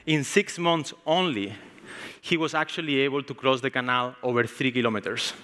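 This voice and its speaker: Spanish-accented man in his 30s, conference speaker, bright tense voice, long hall reverb, relaxed and chatty with ums. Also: medium pitch, normal speed, slight background noise. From Spain. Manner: casual, frequent ums and restarts. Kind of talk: tech conference talk. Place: large conference stage.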